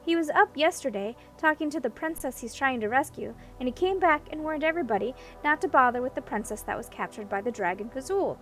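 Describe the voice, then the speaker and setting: A woman's voice: high-pitched, expressive, brisk, hardly any pauses, soft background music, poor audio. A woman voicing a well-meaning young prince in a storybook, earnest, polite and a little hesitant. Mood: worried, earnest.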